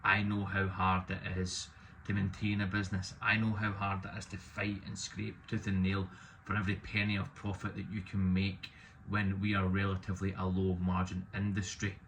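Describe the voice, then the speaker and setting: A man in his thirties, loud and opinionated with a self-deprecating streak, talking casually to camera about his business. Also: deep voice, completely flat delivery, moderate pace, a little hiss or hum. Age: 30s. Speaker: business owner vlogging.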